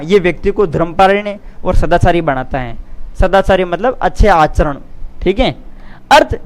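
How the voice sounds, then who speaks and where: A man teaching an exam lesson, reading out answers and explaining them informally, repeating phrases and checking that students follow. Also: high voice. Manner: didactic, repeats phrases, frequent check-in tags. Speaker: teacher, online exam coach.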